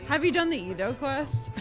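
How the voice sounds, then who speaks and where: Animated young woman streaming a game. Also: high-pitched. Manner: rambling, giggly, reactive. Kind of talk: casual live gameplay chat. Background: game music.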